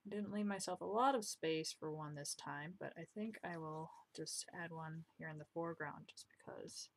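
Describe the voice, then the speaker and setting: A woman's voice: deep voice, moderate pace. Young woman muttering while drawing. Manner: chatty, self-deprecating, muttering asides. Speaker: drawing vlogger. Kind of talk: casual commentary while drawing. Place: home room, indoors.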